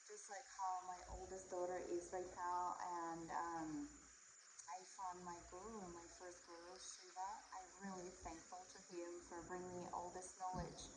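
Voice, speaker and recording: A woman, chatting casually to camera in a halting, rambling way. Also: on the low side, flat delivery. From Latvia. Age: 40s. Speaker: Vedic astrology teacher. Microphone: headset. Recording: roomy echo with faint background noise.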